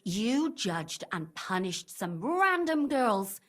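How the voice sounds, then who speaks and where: A woman's voice: mid-range voice, big pitch swings, takes it slowly, a bit bright, exaggerated voice. An actress playing a fierce, vengeful woman in a video game drama, menacing, mocking and impatient. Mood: vengeful anger. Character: fierce, vengeful young woman, threatening and sarcastic.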